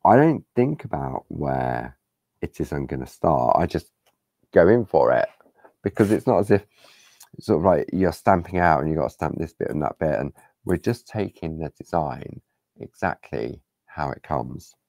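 A man hosting a live craft-along, chatting casually and rambling as he works, with a hoarse voice after days of talking. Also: a bass voice, lively intonation, moderate pace, a little mellow, no room sound. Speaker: craft demonstrator. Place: craft studio table. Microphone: overhead camera on hands, speaker unseen.

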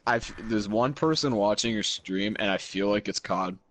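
A man's voice: on the low side, moderate pace. A young man chatting over voice chat while playing a shooter game, laughing a lot and swearing freely. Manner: laughing, profane, excitable. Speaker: gamer on voice chat. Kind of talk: casual gaming banter.

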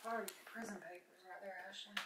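A woman's voice: medium pitch, fairly even intonation, fast talker. A middle-aged woman chatting casually on location, speaking in short, blunt, emphatic remarks. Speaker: co-host, nurse. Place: abandoned house and its yard. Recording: noisy handheld camera audio with clicks.

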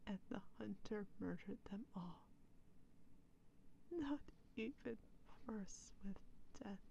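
A woman playing a proud, brooding female vampire in an ASMR role play, speaking in breathy, solemn, formal phrases. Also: medium pitch, animated, slow pace, dark tone, lo-fi sound, a little hiss or hum. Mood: grieving, bitter.

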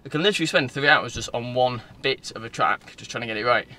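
A young Northern English man talks casually to his phone in a car. His voice is bright and sits at a middling pitch. He rambles informally from one thought to the next, with faint background noise in the recording. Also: quick pace. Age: 20s. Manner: informal, loose, digressive. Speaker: vlogger. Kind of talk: casual rambling vlog chat. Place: inside a parked car. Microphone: phone at arm's length.

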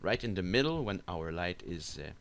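A man giving an unscripted software screencast tutorial, explaining step by step in a halting, hesitant way. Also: low voice, very animated, creaky. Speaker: tutorial instructor. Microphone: voice-over for a screen recording.

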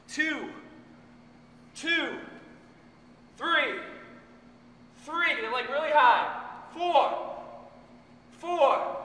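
A man's voice: very high-pitched, sharp tone. A man leading a workout as a fitness trainer, calling out reps while doing the exercises himself, straining and out of breath. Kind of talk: workout instruction. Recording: echoey room with long reverb.

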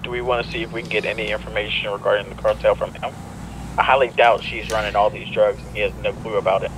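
A man's voice: lowish voice, flat delivery, fast talker, noisy background. A man talking casually as a law officer over the radio in an online game roleplay.

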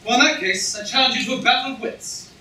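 A man's voice: very high voice, fast, sharp tone, heavily acted. A man playing a polite, wry swashbuckler in a mask, in an amateur staged comedy reading. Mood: cool, challenging. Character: suave masked swashbuckling hero, cool and witty. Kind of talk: amateur staged reading of a comic fantasy play. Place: on stage in a hall, audience camera. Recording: echoey distant stage recording, noisy.

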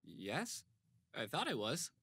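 A man's voice: medium pitch, wildly swooping pitch, slow pace, crowd noise, heavily acted. A man voicing an awkward, sarcastic and slightly dim young male mercenary in a comedic game parody. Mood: awkward, earnest.

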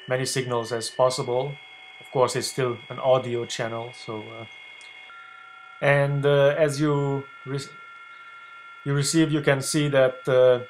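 Man giving a halting tutorial over humming noise. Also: mid-range voice, poor audio. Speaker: ham radio video blogger demonstrating software. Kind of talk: unscripted screencast tutorial. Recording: clear background noise with hum.

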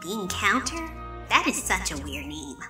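A woman's voice: medium pitch, very animated, a little slow, sharp tone, recorded from a distance, faint music behind. A woman voicing a boastful, flustered ghostly pony magician in a cartoon comic dub, stammering and exclaiming theatrically. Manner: theatrical, stammering, exclaiming, refers to self in third person.

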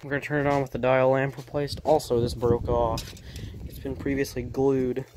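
A man with a mid-range voice talks through his work in an unscripted, casual way. He sounds offhand, as if half talking to himself at the bench. The handheld recording carries clear background noise in a small workshop. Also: mid-range voice, level tone, moderate pace. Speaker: hobbyist electronics repairer showing equipment. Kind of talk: casual unscripted show-and-tell narration.

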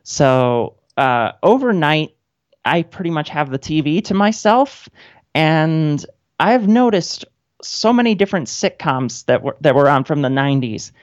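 A woman's voice: low-pitched, lively intonation, unhurried, darkish tone, dry recording. A woman in her thirties talks casually as an interview guest, with a wry manner. Her voice comes through dry and slightly dull, as if band-limited. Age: 30s. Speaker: radio guest, playwright.

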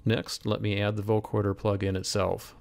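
Low creaky-voiced male tutorial instructor. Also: monotone, moderate pace, a little mellow. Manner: methodical, step by step. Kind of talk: explanatory software demonstration. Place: voice-over screen recording.

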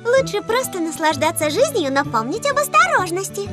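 Very high, kind ambulance robot. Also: female voice, sing-song, normal speed. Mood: caring. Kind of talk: children's cartoon dub. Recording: very loud music under the voice.

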